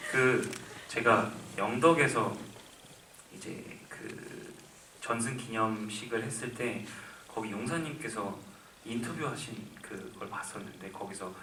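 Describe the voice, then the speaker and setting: A young actor in his twenties answers an audience question in a cinema, heard from a distance with the hall's echo around him. His voice is lowish but bright and forward. He thinks aloud and often restarts his sentences. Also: slow, slight background noise. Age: 20s. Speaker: actor at a film Q&A. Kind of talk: live Q&A answer. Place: cinema auditorium. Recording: echoey cinema from far off.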